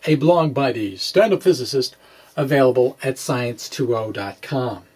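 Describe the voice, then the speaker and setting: A middle-aged man presenting a blog aloud with rambling asides, speaking in a halting, hesitant way and often correcting himself. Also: mid-range voice, darkish tone, husky. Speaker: physics blogger and vlogger. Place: small indoor room with bookshelves.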